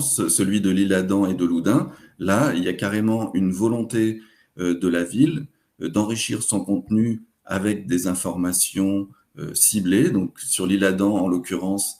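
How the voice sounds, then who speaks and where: A man presenting a webinar, explaining examples in a hesitant, halting way. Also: low-pitched, a little mellow.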